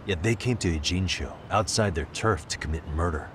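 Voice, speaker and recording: Man playing a low-voiced, cool detective. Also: fairly quick, background noise, natural voice. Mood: cool, analytical. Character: cool, hard-nosed male private detective, observant and methodical. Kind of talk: English video game dub acting.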